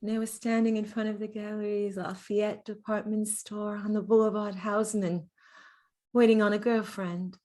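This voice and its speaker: An actress playing a gentle, girlish, chatty dead woman of thirty-four in a confiding, nostalgic stage monologue. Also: mid-range voice, level tone, moderate pace, close to an everyday voice. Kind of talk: dramatic monologue, stage-style acting.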